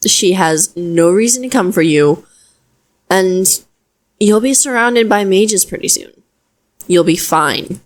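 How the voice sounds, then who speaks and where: A woman's voice: mid-range voice, takes it slowly, long pauses. Woman acting flustered, chatty young female wizard. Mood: explaining, reassuring. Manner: conversational, hesitant, expressive. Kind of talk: scripted audio roleplay addressed to the listener.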